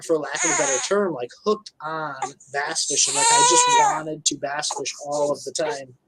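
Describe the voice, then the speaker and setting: A man's voice: fairly high pitch, big pitch swings, moderate pace, heavy background noise. A thirty-year-old man chatting casually as a guest on a live video call from home, laid-back and colloquial. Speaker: guest angler. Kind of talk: casual chat.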